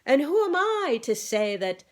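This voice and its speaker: A grandiloquent storybook rooster , played by a woman reader, pitched high and loud. He stretches out florid phrases with the self-importance of a born politician. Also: sing-song, unhurried, background noise. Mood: pompous, noble. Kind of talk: animal storybook read-aloud.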